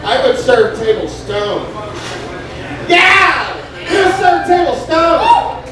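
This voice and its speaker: Young male open-mic comic, high-pitched, halting and rambling, recorded from across a very echoey restaurant over crowd noise. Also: sing-song, far from the mic. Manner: rambling, halting delivery with many fillers and restarts; acts out a stoned, scatterbrained waiter. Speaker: stand-up comedian. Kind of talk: open-mic stand-up comedy. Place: Mexican restaurant with a live crowd.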